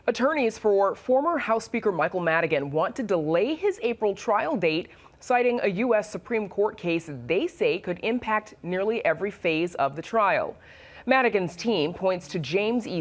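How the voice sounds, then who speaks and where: A woman with an American accent reading scripted news and hosting studio interviews in a crisp, measured broadcast delivery. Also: mid-range voice. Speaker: news anchor and host.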